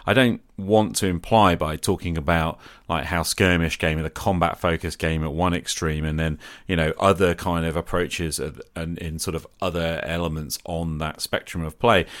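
A man hosting a podcast in a conversational, earnest and reflective way, with measured phrasing. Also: low voice, fairly even intonation, fairly bright tone, no echo. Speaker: podcast host. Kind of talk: conversational podcast talk on tabletop roleplaying.

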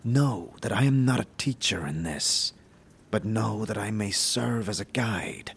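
A man's voice: lowish voice, creaky, a bit bright, breathy edge, full character voice. A man playing a stoic, wise warrior-mage who speaks formally and cryptically in a fantasy audio drama. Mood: solemn, calm. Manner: slow, measured, formal, aphoristic.

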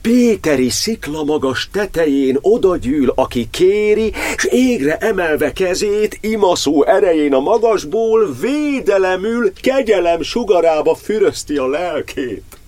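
A man's voice: high-pitched, lively intonation, hardly any pauses, darkish tone, no room sound. An actor playing a fervent, grieving churchman, declaiming an impassioned poetic monologue in a radio drama. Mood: fervent, exalted. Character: fervent, grieving churchman preaching faith amid war.

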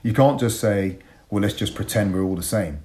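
Low-voiced, articulate English man in his forties. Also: normal speed, mellow. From Bristol, England. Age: 40s. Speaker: interview guest. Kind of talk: reflective, argumentative conversation.

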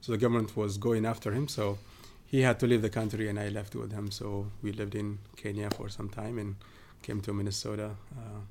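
A man from southern Ethiopia, a guest in a podcast interview, talking casually with many pauses and fillers. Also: fairly low pitch, flat delivery, a little mellow, slight background noise. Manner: hesitant, many fillers and restarts.

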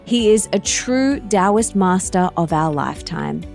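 A woman's voice: medium pitch, animated, a little slow, creaky, darkish tone, faint music behind. A woman speaking as a podcast host, with an effusive, enthusiastic way of introducing and interviewing.

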